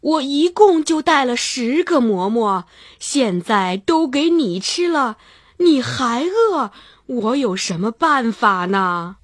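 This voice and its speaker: Storybook grandmother, elderly and doting, acted by a woman: husky, unhurried, very expressive. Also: fairly high pitch, no echo, studio quality, heavily acted. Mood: helpless. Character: kindly old grandmother, busy, doting, anxious. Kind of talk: children's storybook character acting.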